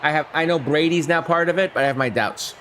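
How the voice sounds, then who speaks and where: A man with a hoarse, flu-worn voice calling a video game football simulation play by play on a live stream, shouting on big plays and chatting with his viewers. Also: on the high side, fast talker, slight background noise.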